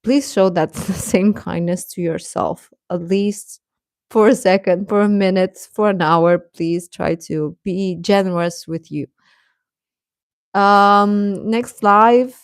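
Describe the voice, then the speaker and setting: A woman hosting a casual livestream, chatting to viewers in a gentle, encouraging, affectionate way. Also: medium pitch, takes it slowly, dry recording, clean studio sound. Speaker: livestream host.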